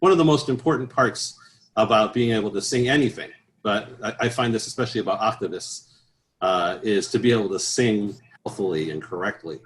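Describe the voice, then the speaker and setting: A man with a fairly low speaking voice talks in an easygoing, halting way. He restarts often and fills pauses with soft sounds while he explains things. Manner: easygoing, many restarts and "uh"s, chuckles at his own stories. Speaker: choral bass singer, guest. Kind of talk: relaxed interview answers.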